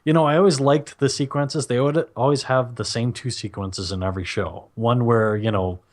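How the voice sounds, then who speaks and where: A man's voice: medium pitch, steady tone, moderate pace, a little mellow. A middle-aged man co-hosting a podcast, chatting casually and nostalgically. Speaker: podcast co-host.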